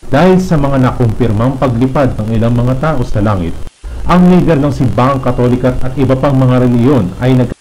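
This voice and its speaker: A man's voice: medium pitch, moderate pace, mellow, rough recording. A man reading scripted, formal narration in the manner of a news documentary for an analog horror video.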